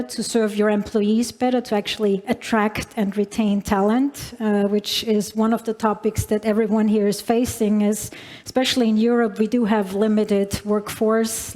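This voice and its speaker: A woman's voice: medium pitch, a little mellow. A woman with a non-native English accent giving a conference keynote in a large hall, with many fillers and restarts as she addresses the audience. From Alpine region. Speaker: keynote speaker. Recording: long hall reverb.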